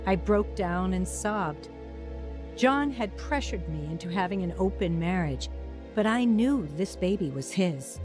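A woman's voice: mid-range voice, close to an everyday voice. A woman playing an earnest young wife, narrating. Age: young adult. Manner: earnest, emotional. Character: young wife and new mother, worn down by a hard marriage. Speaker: narrator and lead character. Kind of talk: first-person narration and radio drama acting. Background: music under narration.

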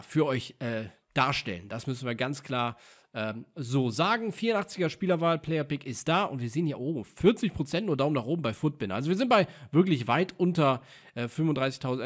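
A young man, slightly sick, chatting casually and upbeat as a gaming video host, with frequent fillers, recorded at his desk indoors. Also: medium pitch. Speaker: gaming YouTuber. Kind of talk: casual gameplay commentary.

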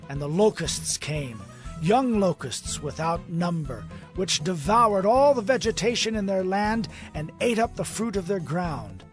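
A man narrating a dramatized Bible reading in an expressive, measured way. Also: sits high. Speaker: scripture narrator. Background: soft music.

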